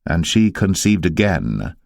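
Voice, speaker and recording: A man reading scripture as a narrator, in a solemn, measured storytelling manner. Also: low-pitched, very animated, vocal fry, darkish tone, dry recording, clean studio sound.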